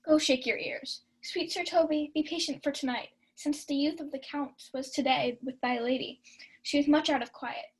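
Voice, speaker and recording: A young woman playing a shrewd, mischievous household servant in a Shakespeare radio play, brisk and conspiratorial. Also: high-pitched, steady tone, natural voice. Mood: scheming. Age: young adult.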